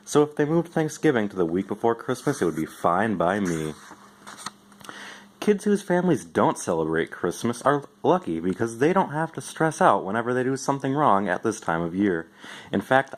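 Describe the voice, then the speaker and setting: A man with a fairly low voice giving an expressive amateur storybook reading in the first person. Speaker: amateur reader.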